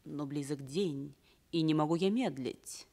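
An actress playing a passionate, scheming enslaved princess in love, with theatrical, drawn-out, declamatory delivery in a radio play. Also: female voice, deep voice, dark tone. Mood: tender, anxious. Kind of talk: declamatory classic stage acting in a radio play.

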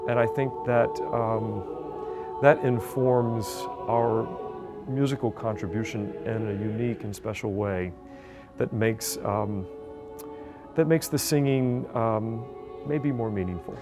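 A man in his thirties, a choir music director, speaking in a measured, thoughtful way with pauses in a wood-paneled office. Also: medium pitch. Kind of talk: interview.

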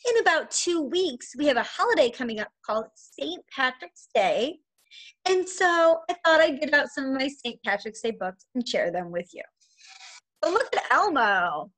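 A woman in her forties, a preschool teacher, reading a picture book aloud to young children in an expressive, sing-song manner. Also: sits high, poor audio. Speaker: preschool teacher and story time host. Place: small indoor room at home.

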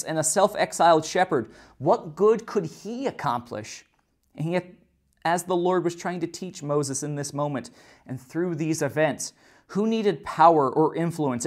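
A man in his thirties reading and explaining a Bible story in an earnest kids' lesson, speaking at a desk in a church room. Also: a little high. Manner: earnest, explanatory, with occasional restarts. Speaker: children's ministry teacher.

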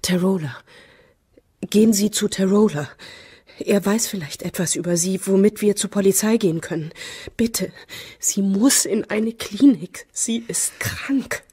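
A husky, emotionally guarded woman. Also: medium pitch, measured pace, dark tone. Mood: anxious, pleading. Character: cold, emotionally detached woman with a fearful streak. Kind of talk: German radio crime drama acting.

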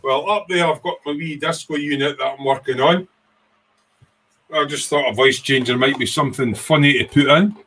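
A man's voice: fairly high pitch, moderate pace, a bit bright, breathy edge, poor audio. A man in his sixties with a Scottish accent, hosting a casual, rambling livestream chat in an informal way. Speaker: livestream host, hobbyist. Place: small home workshop room.

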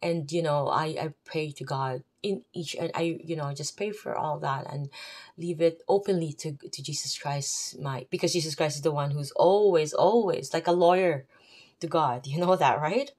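A woman's voice: low voice, moderate pace, a little mellow. A woman with a Filipino accent giving an unscripted, rambling talk about her faith to her viewers, with chatty asides. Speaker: faith vlogger. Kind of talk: unscripted religious talk to camera.

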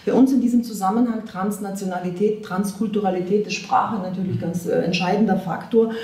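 A middle-aged woman interviewing an author, asking measured, academic questions. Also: mid-range voice, steady tone, dark tone, distant mic. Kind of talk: literary interview. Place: bright room with tall windows. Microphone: far camera mic. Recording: roomy, from afar.

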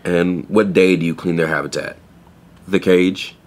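A young man working in a pet store, in an audio drama. His voice sits fairly low. He talks in blunt slang with hesitant turns, guarded yet earnest. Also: steady tone, unhurried. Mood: matter-of-fact. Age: young adult. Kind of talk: naturalistic audio drama acting.

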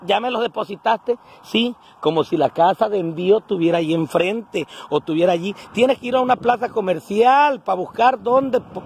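A man's voice: high voice, darkish tone, a little hiss or hum. A man in his forties with a coastal Guerrero Mexican accent, talking casually and colloquially into his phone in an earthy, salty way. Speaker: vlogger. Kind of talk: casual selfie vlog monologue to camera. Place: outdoors on a roadside, starting indoors in a house. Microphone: phone at arm's length.

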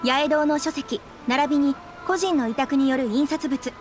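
A woman's voice: sits high, fairly even intonation, moderate pace, soft background music, close to an everyday voice. A woman voicing a dutiful, businesslike publishing employee in a Japanese anime game, giving formal reports.

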